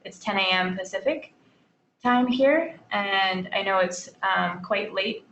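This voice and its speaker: A woman hosting an online meeting, giving casual updates in a relaxed, informal way with frequent fillers, on a video call. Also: medium pitch, slow pace. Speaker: meeting host. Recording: dull, echoey, faint noise.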